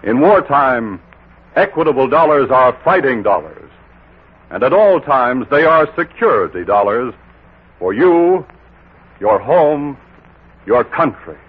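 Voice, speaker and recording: American man, husky voice, 1940s radio announcer, earnest, formal scripted read, tinny recording. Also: medium pitch, sing-song, slow pace, long pauses.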